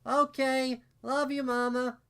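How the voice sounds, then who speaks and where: A tiny, innocent unicorn filly acted out by a man for a storybook reading. The voice is pitched way up and bursts out eagerly. It chatters in cheerful, childlike baby talk. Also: level tone, slow pace, fairly bright tone, clean tone, exaggerated voice. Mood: excited, innocent. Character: excitable little unicorn filly, sweet and innocent. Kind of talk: storybook character voice.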